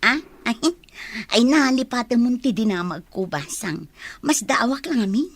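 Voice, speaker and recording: Casting: woman as nosy store-minding auntie for radio drama, slightly high, husky, chatty. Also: lively intonation, normal speed. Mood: gossipy. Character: nosy, gossipy village aunt who minds a store. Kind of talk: Ilocano radio drama acting.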